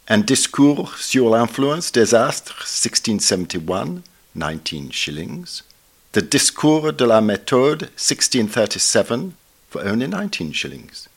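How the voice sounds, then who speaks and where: A man reading a scripted book review aloud in a formal, measured, scholarly manner. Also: on the low side.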